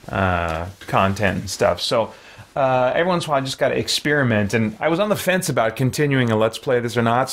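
A man in his forties chatting casually as he hosts a game livestream, rambling and self-deprecating. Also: medium pitch. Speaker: streamer, host of a let's play. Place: small room at home.

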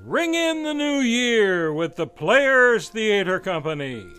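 A man's voice: high-pitched, big pitch swings, takes it slowly, pushed and bright, clear, resonant tone, faint music behind. A man reading a scripted radio advertisement. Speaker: advertisement announcer.

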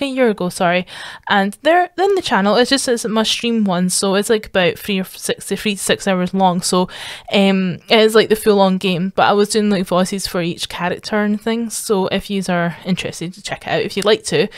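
A woman's voice: medium pitch, fairly quick, no echo, clean studio sound. Chatty woman with an Edinburgh accent. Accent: Edinburgh Scottish. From Scotland. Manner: rambling, full of fillers and restarts. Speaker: streamer. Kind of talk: casual chat to viewers.

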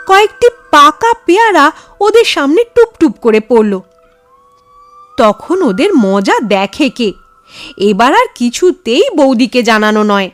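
High-pitched, expressive woman storyteller. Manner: expressive, suspenseful. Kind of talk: dramatic ghost story narration.

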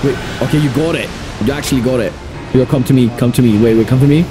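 A man with a raspy voice doing excitable gameplay commentary with a friend, repeating short urgent phrases. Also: mid-range voice, fast talker. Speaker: gaming streamer. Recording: loud game music behind voice. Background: video game music and effects.

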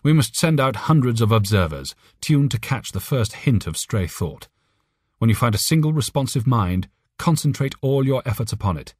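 A man voicing an authoritative alien leader, a chief scientist in an audiobook story, with a commanding, deliberate manner. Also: medium pitch, expressive, darkish tone, breathy edge, dry recording, clean studio sound, voice pushed up. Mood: resolute. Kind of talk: audiobook character reading.

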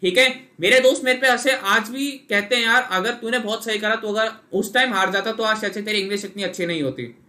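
A man's voice: high-pitched, fairly quick, hardly any pauses, pushed and bright. A young Indian man coaching students in a live online class, explaining patiently and calling on viewers by name. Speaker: SSB interview coach.

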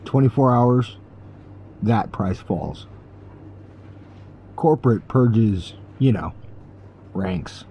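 A man's voice: lowish voice, animated, extremely slow, long pauses, warm tone, highs cut off, a little hiss or hum, full character voice. A man playing a cynical, defiant space vagabond in a science fiction audio drama, terse and sardonic.

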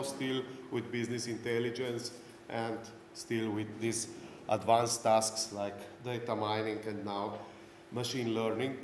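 A middle-aged man from Slovenia with a Slovenian accent, giving a technical lecture at a conference as a non-native speaker. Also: medium pitch. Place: indoor conference breakout room. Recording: roomy echoey hall, clear background noise.

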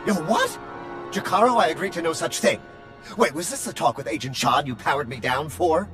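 A man plays a high-strung protocol droid in a video game, prim and fretful, his high voice leaping all over the place. Game music plays underneath. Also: lively intonation, a little fast, lightly acted. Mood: indignant. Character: fussy, panicky male protocol droid.

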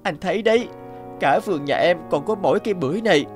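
A man's voice: high voice, expressive, fast talker. A man voicing a humble, honest youngest brother in a fairy-tale cartoon, meek and plaintive. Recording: music behind the voice.

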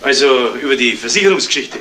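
Lively, quick-talking Bavarian young-cop type, noisy. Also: male voice, medium pitch. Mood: defensive, flustered. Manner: colloquial, stammering, defensive. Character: good-natured, flustered, soft-hearted male junior policeman. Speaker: actor. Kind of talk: 1970s German radio crime drama acting. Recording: noisy old 1970s radio play recording.

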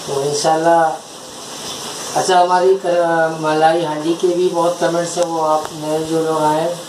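A man's voice: fairly high pitch, flat delivery, unhurried, noisy background. A middle-aged man co-hosting a home cooking video, asking questions, repeating answers and speaking to viewers. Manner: asks questions, echoes answers, talks to viewers. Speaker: co-host of cooking channel. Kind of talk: casual cooking chat, addressing viewers. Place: home kitchen, indoors. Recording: echoey kitchen with sizzling.

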